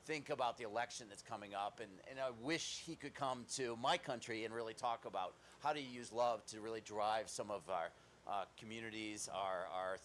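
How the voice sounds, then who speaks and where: A man's voice: on the low side, fairly quick, fairly bright tone, a little hiss or hum. A man from the United States speaking on a conference panel, upbeat with some fillers.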